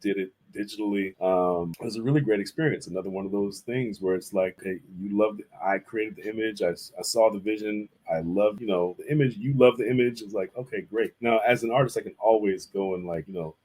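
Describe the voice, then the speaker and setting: A man's voice: on the low side, fairly quick, few pauses, a little mellow, slightly rough, low-quality recording. A man speaking as a guest artist in a casual interview, telling stories in an informal, reflective way. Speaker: guest, visual artist and illustrator.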